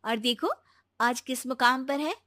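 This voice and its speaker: A woman's voice: high voice, unhurried, pushed and bright, no echo, studio quality. A woman voicing a spirited young daughter-in-law in a cartoon, talking earnestly and persuasively.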